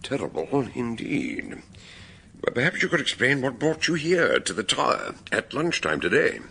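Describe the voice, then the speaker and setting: An actor playing a shrewd gentleman investigator in a radio drama, deliberate, wry and probing in manner. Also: mid-range voice, huge pitch swings, vocal fry, slight background noise, exaggerated voice. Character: shrewd, mannered gentleman sleuth questioning suspects.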